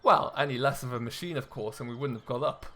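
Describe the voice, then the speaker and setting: A man's voice: mid-range voice, quick pace, fairly bright tone. A man hosting a gaming video, giving casual role-play commentary as he plays a farming simulator. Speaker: host of a gaming video series.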